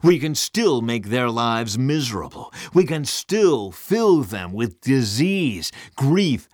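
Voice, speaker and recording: Male narrator as vengeful lord of demons: commanding, grandiose, bellowing, raised swooping pitch, storybook villain. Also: animated, unhurried, fairly bright tone, dry recording, studio quality. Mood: grim, vengeful.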